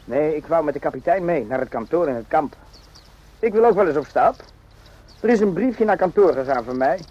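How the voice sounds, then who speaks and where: Chatty randy young army lad, jokey rambling, voice swoops around, lo-fi old radio drama. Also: male voice, on the high side, animated, a little fast. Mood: eager, joking. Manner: rambling, jokey, eager, confiding. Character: chatty, naive, randy young army soldier, former circus hand. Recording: muffled lo-fi old radio recording.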